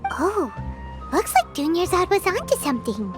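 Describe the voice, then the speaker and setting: A tiny, excitable childlike sprite chatters in a very high, squeaky voice that leaps up and down. She talks about herself in the third person and gets worked up over food. Loud game music plays behind her. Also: moderate pace. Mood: knowing. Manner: excitable, exclamatory. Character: tiny floating childlike girl companion, excitable and food-loving. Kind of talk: game cutscene voice acting.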